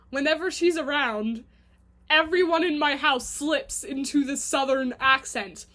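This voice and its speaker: A woman's voice: sits high, fairly bright tone. A young woman with a Canadian accent, talking to her camera at home in a chatty, self-mocking, rambling monologue. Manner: chatty and self-mocking, quick giggly asides. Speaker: vlogger.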